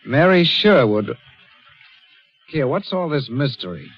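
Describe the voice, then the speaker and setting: A man's voice: medium pitch, very animated, takes it slowly, soft background music. An actor playing an earnest, anxious young man in a radio mystery, polite but agitated and disbelieving. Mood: puzzled, uneasy. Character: young, anxious, disbelieving male fiancé, well-mannered. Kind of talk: radio mystery drama acting. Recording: dull, noisy lo-fi radio recording.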